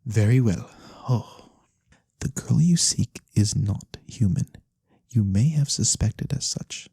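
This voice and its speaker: A performer voicing a mysterious, courteous fantasy lorekeeper in a soft-spoken ASMR roleplay, breathy and theatrical with knowing pauses. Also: mid-range voice, no room sound, studio quality, exaggerated voice. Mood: ominous, reluctant. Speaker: storyteller character.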